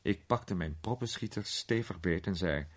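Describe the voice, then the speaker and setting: A man playing an urbane gentleman detective who recounts his case with measured, suspenseful pauses in a radio play. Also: sits low, breathy edge. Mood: composed, confiding. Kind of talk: dramatised storytelling in a radio play.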